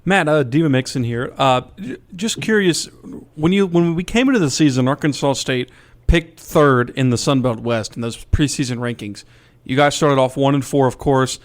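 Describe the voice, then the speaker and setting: A man with a medium-pitched voice co-hosts a sports radio show. He speaks conversationally, in the way of an interviewer questioning a guest. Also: normal speed.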